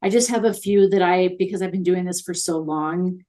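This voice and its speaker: Interview guest: woman, somewhat low pitch, friendly and talkative, peppered with "um". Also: normal speed, mellow. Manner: chatty and upbeat, many fillers. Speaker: guest consultant on virtual assistants. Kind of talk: conversational interview.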